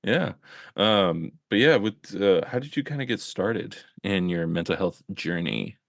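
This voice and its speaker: Deep gravelly man hosting a podcast. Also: a little slow, no echo. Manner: relaxed, filler-heavy questions. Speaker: podcast host. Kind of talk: casual podcast interview.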